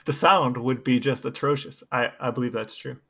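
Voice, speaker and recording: A man's voice: medium pitch, steady tone, moderate pace, gravelly, fairly bright tone. A man co-hosting a podcast, with dry, matter-of-fact humor in casual banter and read-aloud passages. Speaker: podcast co-host. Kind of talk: casual banter, partly reading texts aloud.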